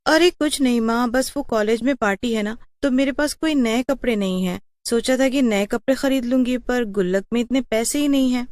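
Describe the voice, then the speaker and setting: A proud but downcast young college girl played by a woman talks in a high voice. It is an audio story drama, recorded dry and close. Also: moderate pace, few pauses, darkish tone, no room sound, natural voice.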